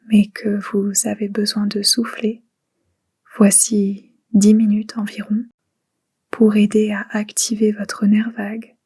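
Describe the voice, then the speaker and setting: A woman guiding a relaxation meditation in a breathy, whispery voice, soothing and hushed, in short spaced phrases. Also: medium pitch. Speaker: guided meditation instructor. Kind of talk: guided relaxation meditation, soft and unhurried.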